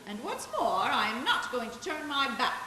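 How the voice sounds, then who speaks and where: A woman's voice: sits high, sing-song, moderate pace, pushed and bright, exaggerated voice. A woman playing an outspoken, principled bank examiner in a live radio play, on a very echoey stage. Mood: indignant. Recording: very echoey stage, faint hiss.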